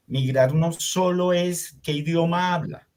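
An older man from Venezuela, whose was Hungarian, telling his story in an interview in a reflective, unhurried way with many fillers. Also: fairly high pitch, darkish tone. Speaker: artist guest. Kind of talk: conversational interview.

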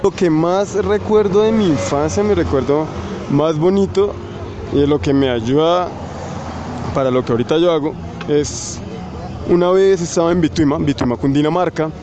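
A man telling a childhood anecdote in a street interview, with an animated storytelling manner. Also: a little high, normal speed, background noise. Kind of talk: street vox pop.

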